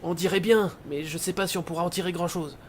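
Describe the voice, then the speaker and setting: An actor playing a doubtful soldier on watch in an audio drama. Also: male voice, high voice, fairly even intonation, quick pace, slight background noise, lightly acted. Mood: uncertain. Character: doubtful male soldier finding buried comrades.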